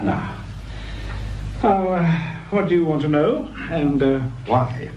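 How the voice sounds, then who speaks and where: An imperious English boss in a radio play, curt and pompous. His voice is fairly high and very animated, on a slightly dull recording with room echo. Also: slow pace. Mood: brusque, officious. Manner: pompous, brusque, condescending. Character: imperious, curt, officious male research centre director. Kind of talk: British radio crime drama acting. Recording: roomy, muffled, slightly noisy radio recording.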